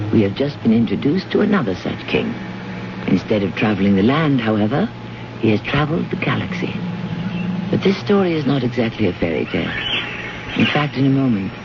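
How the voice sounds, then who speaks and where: A man narrates as host of an old radio drama in a theatrical, wry and literary style. His mid-pitched voice moves with great animation, over clear noise on a dull vintage recording.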